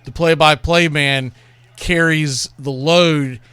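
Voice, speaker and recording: A man's voice: a little high, steady tone, takes it slowly, bright, forceful tone, clean tone, dry recording. A man hosting a baseball show, reminiscing and commenting in a rambling, conversational way about classic calls. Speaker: baseball radio show host.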